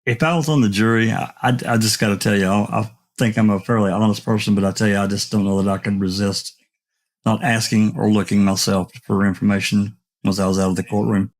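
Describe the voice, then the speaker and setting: A man's voice: lowish voice, fairly even intonation, fairly quick. A man hosting a casual discussion in a folksy, hesitant way, repeating himself for emphasis.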